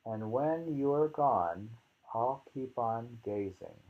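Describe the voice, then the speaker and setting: A man reading poems aloud in a slow, halting, musing way, repeating lines and adding informal, rambling commentary, on a dull lo-fi recording. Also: medium pitch, expressive. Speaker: reader and commentator of poetry.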